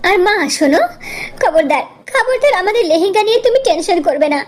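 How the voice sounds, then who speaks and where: A woman's voice: extremely high pitch, animated, brisk, pitched above the performer's own voice. A woman voicing a caring, insistent young bride-to-be daughter in a cartoon, pleading and girlish. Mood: eager, reassuring. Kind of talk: cartoon story character acting.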